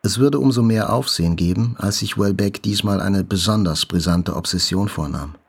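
An actor playing a writer's brooding inner voice in a grave, contemplative literary monologue. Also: male voice, low-pitched, normal speed, full character voice. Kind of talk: literary monologue in audio drama. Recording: clear music behind the voice.

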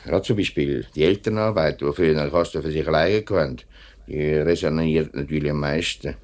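A factory worker in his late thirties with a low, deep voice and a Swiss German accent. He is dutiful and straightforward, speaking in a measured, polite but persistent way. The style is vintage 1950s radio drama. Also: a little mellow. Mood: polite, firm. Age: late 30s. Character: straightforward, dutiful factory worker and union man, nearly forty. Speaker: actor in a radio play.

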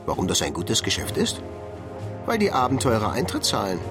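An actor playing a boastful but cowardly, babbling duck with dreams of heroism in a comic fantasy radio drama, theatrical in delivery. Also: male voice, fairly low pitch, huge pitch swings, fairly quick. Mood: grandiose. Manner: theatrical, stammering when pleading. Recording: music behind the voice.

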